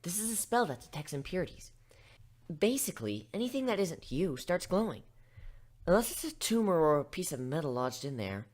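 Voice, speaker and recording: Brainy magical pony voiced by a woman, female, matter-of-fact, explanatory, husky, lowish voice, story reading. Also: sing-song, brisk, a little mellow. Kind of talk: fan fiction dramatic reading.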